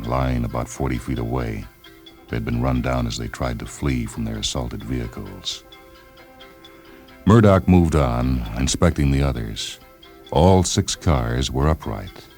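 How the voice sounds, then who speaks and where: A man reading science fiction as a narrator, in a measured, deliberate storytelling style. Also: very deep voice, darkish tone. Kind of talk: scripted dramatic narration of fiction.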